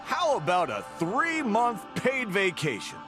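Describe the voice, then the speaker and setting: Wildly expressive, high-pitched demanding boss. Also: male voice, a little slow, full character voice. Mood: flustered, placating. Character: demanding, patronizing male company boss who turns flustered. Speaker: boss in a game story. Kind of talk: animated video game voice acting. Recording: music playing behind the voice.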